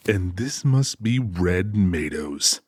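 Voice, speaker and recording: Man acting a very deep, husky, menacing avenger. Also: lively intonation, slow pace, darkish tone, dry recording, studio quality, heavily acted. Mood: taunting. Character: menacing male avenger, mock-polite crime boss. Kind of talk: audiobook character acting.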